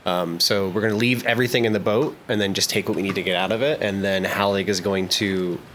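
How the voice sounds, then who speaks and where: A man's voice: deep voice, fairly even intonation, fast, a bit bright, slight background noise. A man playing a tabletop game, upbeat and rambling as he lays out plans. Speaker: player. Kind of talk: casual tabletop chat.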